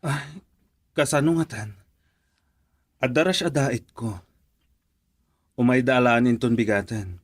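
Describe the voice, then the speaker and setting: An actor playing an easygoing but somewhat reluctant young husband in a radio drama. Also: male voice, mid-range voice, takes it slowly, pauses often, no echo, clean studio sound. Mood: hesitant, agreeable.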